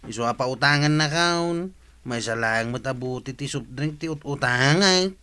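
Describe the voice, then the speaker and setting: An actor playing a broke, lazy tricycle driver who whines and pleads, in a comic radio drama with an Ilocano accent. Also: male voice, medium pitch, moderate pace, bright, forceful tone, smooth, natural voice. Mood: pleading.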